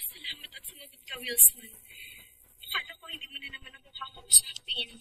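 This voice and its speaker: A woman's voice: high voice, fairly even intonation, fairly quick, sharp tone, low-quality recording. A woman playing a lovesick, dutiful sweetheart in a radio melodrama, plaintive and pleading. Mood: pleading, yielding. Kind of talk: Tagalog radio melodrama acting.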